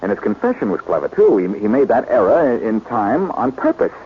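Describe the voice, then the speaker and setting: An actor playing a wry, self-assured amateur detective in a 1940s radio drama, talking briskly and needlingly. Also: male voice, medium pitch, big pitch swings, lightly acted. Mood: keen, confident. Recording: dull, noisy old 1940s radio broadcast.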